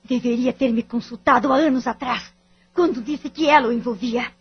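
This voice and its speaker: A woman's voice: a little high, moderate pace, husky, dull audio. A woman acting in an audio drama with a Brazilian accent, playing a bitter, wounded wife and devoted mother in formal, melodramatic phrasing. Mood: reproachful, hurt.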